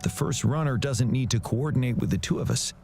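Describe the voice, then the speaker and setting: A man playing a calm, courteous young general in a video game, speaking in a composed, formal and reassuring manner. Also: mid-range voice, brisk, creaky, faint music behind, natural voice. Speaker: military general.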